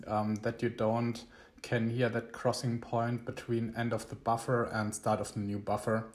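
A young man, not a native English speaker, giving a halting, explanatory screencast tutorial. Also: mid-range voice. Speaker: electronics tutorial host.

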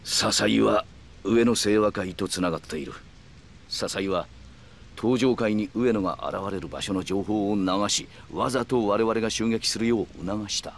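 An actor playing a calm, calculating middle-aged yakuza man who speaks in polite, measured, formal phrases in a video game. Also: lowish voice, vocal fry. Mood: cool, persuasive. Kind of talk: Japanese video game cutscene acting.

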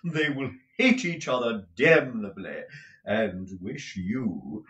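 A man voicing a foppish, wheedling, swearing husband from a period novel, with drawn-out, theatrical, excitable delivery. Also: mid-range voice, roomy sound, highs cut off. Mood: gleeful mockery. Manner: drawn-out, swooping, gushing delivery. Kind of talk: audiobook character voice from a period novel.

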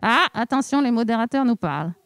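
Playful woman presenter with a high voice. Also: expressive, brisk, fairly bright tone, no echo. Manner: playful, bantering. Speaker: co-host, librarian. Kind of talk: casual live-stream presenting. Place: small decorated indoor stage set. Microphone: handheld microphone, speaking through a face mask.

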